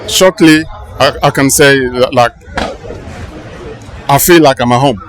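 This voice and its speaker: A man answers questions outdoors in a halting, word-searching way, restarting his sentences. A faint crowd murmurs behind him. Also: medium pitch, a little hiss or hum. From Angola. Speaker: interviewee, funeral visitor. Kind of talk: casual street interview. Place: outdoors at a crowded palace funeral.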